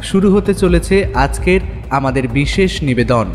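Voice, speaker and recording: A man's voice: a little high, expressive, unhurried, darkish tone. A man presenting a scripted introduction to an audio story. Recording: reverberant voice over music. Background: music.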